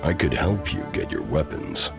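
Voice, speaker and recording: An actor playing a terse, gruff ex-commander in a video game, cold and self-assured, with a gravelly voice. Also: male voice, rumbling low, very animated, moderate pace, exaggerated voice. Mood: cold persuasion. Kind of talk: video game dialogue acting. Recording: music under the voice.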